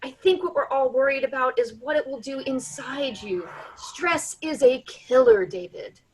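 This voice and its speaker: A woman acting a high-voiced, coaxing coworker. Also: lively intonation, moderate pace, vocal fry, slight background noise, poor audio. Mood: coaxing, concerned. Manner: therapist-like, coaxing. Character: earnest, prodding female coworker urging emotional sharing. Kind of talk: staged play reading.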